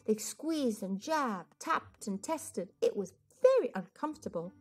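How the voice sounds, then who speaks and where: A woman hosting a children's read-aloud, telling a picture book story expressively and deliberately. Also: fairly high pitch, very animated, darkish tone, husky. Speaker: storyteller, host. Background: music.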